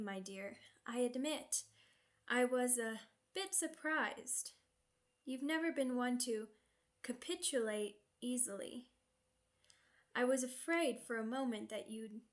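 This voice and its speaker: A woman's voice: fairly high pitch, slow. A woman playing a seductive, sadistic vampire noblewoman in an ASMR roleplay, speaking formally and teasingly, savoring each phrase. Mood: smug, silky.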